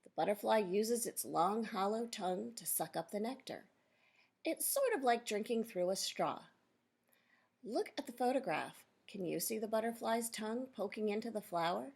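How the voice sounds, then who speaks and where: Female teacher for children's nonfiction read-aloud; lower-pitched than some but ordinary range, noticeable vocal fry, instructive asides to pupils. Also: animated, normal speed, a little mellow. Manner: instructive, addresses children directly with questions and explanations.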